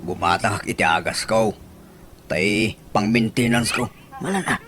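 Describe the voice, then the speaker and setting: A man's voice: on the low side, normal speed, noisy background, close to an everyday voice. A male actor playing a stubborn, lovesick older man in a radio comedy drama. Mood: chatty.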